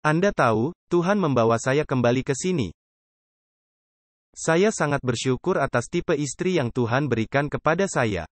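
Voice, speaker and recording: A man's voice: mid-range voice, animated, normal speed, no echo. Earnest male preacher, dry close sound. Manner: earnest, emphatic, with rhetorical questions to listeners. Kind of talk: dubbed sermon.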